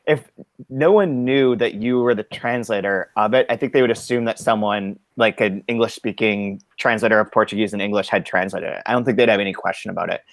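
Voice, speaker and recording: A man's voice: fairly low pitch, brisk, dry recording. A man co-hosting a book podcast, talking enthusiastically and casually with lots of "like" fillers. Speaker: podcast co-host.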